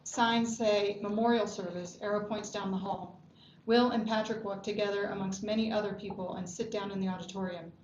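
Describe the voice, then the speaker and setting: A woman's voice: mid-range voice, fairly even intonation, brisk, darkish tone. A woman narrator at a table read, reading scripted stage directions. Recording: dull, echoey room with background noise.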